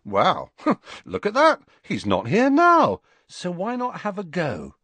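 A man's voice: mid-range voice, sing-song, moderate pace, no echo, pitched above the performer's own voice. Guy doing a mouthy teen lad, mocking, bouncy voice. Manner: mocking, taunting. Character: defiant, mocking teenage boy.

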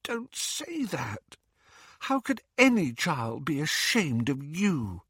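A man's voice: huge pitch swings, very slow, no echo, studio quality, heavily acted. A man putting on a tearful, pleading teenage girl. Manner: breathy pleading, high and soft.

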